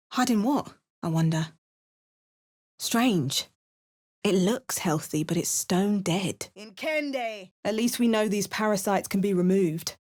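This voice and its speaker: Woman doing a steady wry game heroine, mid-pitched voice, clipped lines, sharp spell shouts. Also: no echo, close to an everyday voice. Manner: dry, clipped remarks and barked spell words. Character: bold female adventurer hero, wry and steady. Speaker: player character.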